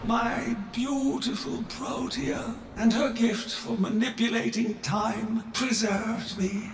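An actor playing a grand, ancient tycoon patriarch in a video game, speaking in a stately, imperious, deliberate way. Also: male voice, very high voice, vocal fry, reverberant, a little hiss or hum, exaggerated voice. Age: older.

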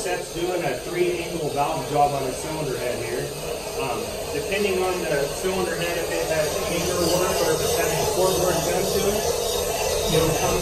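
A man with a fairly high voice walks a group through a big indoor machine shop, pointing things out and explaining each station as he goes. His voice bounces around the hard-walled room. Loud machine and air hum runs underneath him. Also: echoey room. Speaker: shop tour guide. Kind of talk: walking shop tour explanation. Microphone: handheld camera from a few feet away. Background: machinery and ventilation hum.

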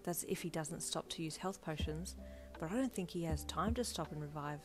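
A woman's voice: low-pitched, normal speed, soft background music, natural voice. Woman reading as a worried young girlfriend. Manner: worried, puzzled, smug at times.

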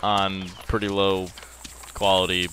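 A man's voice: deep voice, slow, slight background noise. Rambling crude young male gamer. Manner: rambling, crude joking, breaks into excited bursts. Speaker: gameplay channel host. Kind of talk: casual gaming commentary with a friend.

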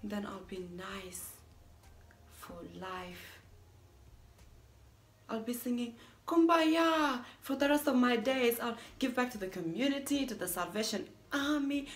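A woman's voice: on the high side, normal speed, lightly acted. A woman performing a theatrical monologue as a self-declared mean young woman resisting a marriage proposal, dramatic and emphatic. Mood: exasperated begging. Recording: echoey room.

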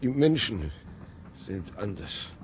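Ein Schauspieler spielt in einem alten Hörspiel einen uralten, unruhigen Großvater, der langsam und stockend spricht. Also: male voice, fairly low pitch, big pitch swings, a little hiss or hum. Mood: awed, uneasy. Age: older. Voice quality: creaky, slow and halting. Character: very old man, grandfather, restless and fearful. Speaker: actor in a radio play. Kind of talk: 1950s radio drama acting.

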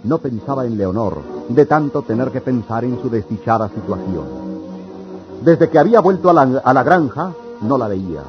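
A man's voice: mid-range voice, lively intonation, moderate pace, close to an everyday voice. A man narrating a radio drama in a solemn, poetic, scripted style. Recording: dull old radio recording. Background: music.

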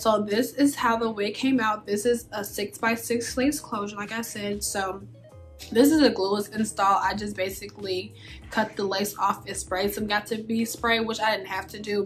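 Dry-voiced young woman, casual tutorial. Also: medium pitch. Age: 20s. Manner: monotone, deadpan delivery. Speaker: beauty vlogger and hair brand owner. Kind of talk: casual hair tutorial chat. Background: background music.

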